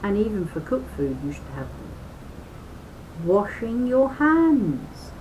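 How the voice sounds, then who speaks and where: Older woman, slow instructive children's read-aloud, chatty, noisy home recording with rumble. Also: darkish tone. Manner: deliberate, instructive, speaking as if to children. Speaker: reader of a children's health booklet.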